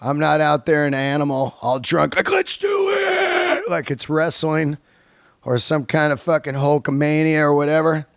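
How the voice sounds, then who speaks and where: A man's voice: on the high side, flat delivery, normal speed, creaky, fairly bright tone, clean tone, no echo, studio quality. A man in his early fifties hosting a podcast, chatting casually to his listeners in a laid-back, slangy, sweary way. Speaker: podcast host and stand-up comedian.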